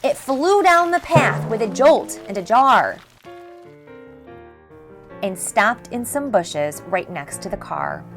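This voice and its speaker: A woman reading a rhyming picture book aloud for children in an expressive, measured storytelling style. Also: medium pitch. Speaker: storybook reader. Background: faint background music.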